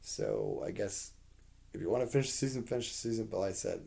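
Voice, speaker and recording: A man with a hoarse voice giving a rambling, candid spoken TV review, with plenty of fillers and the odd curse. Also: lowish voice, expressive, fast talker, noisy background.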